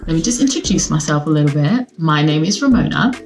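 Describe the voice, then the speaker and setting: A young woman from Sweden talks straight to her viewers in a relaxed, upbeat home vlog. Her voice sits a little low for a woman. She chats in a loose, rambling way with plenty of fillers, and faint music plays underneath. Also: quick pace. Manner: chatty, upbeat, lots of fillers. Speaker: vlogger. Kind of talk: casual chat to camera. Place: at home, seated.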